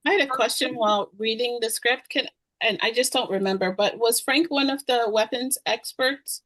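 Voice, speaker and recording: A woman's voice: on the high side, normal speed, a bit bright, low-quality recording. A woman speaking informally in a group discussion, asking questions and commenting.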